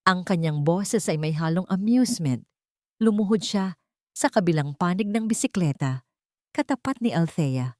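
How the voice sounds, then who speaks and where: Low-voiced Filipina storyteller, dry recording. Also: female voice, expressive, moderate pace, darkish tone, no room sound. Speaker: narrator. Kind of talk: scripted dramatic romance narration for an audio drama.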